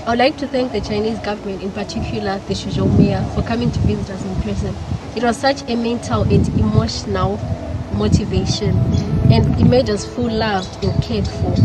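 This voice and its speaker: A woman's voice: medium pitch, steady tone, normal speed. Grateful woman from Zimbabwe, distant mic. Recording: distant mic with loud music.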